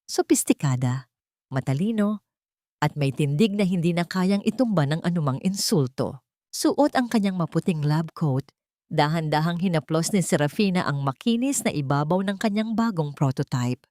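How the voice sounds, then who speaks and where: A woman's voice: low voice, lively intonation, moderate pace, mellow, dry recording, clean studio sound. A woman reading a scripted dramatic love story as its narrator.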